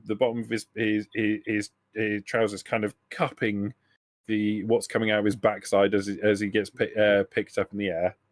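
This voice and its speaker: A man running a tabletop roleplaying game, improvising narration in a halting way, often repeating and rephrasing his words. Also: fairly low pitch, dry recording. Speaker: game master.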